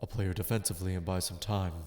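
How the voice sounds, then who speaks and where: A man's voice: low-pitched, steady tone, very fast, dark tone, slight background noise, close to an everyday voice. An actor playing an earnest, determined teenage boy card duelist in an anime-style audio drama, dramatic as he thinks aloud and calls out moves. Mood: tense, calculating.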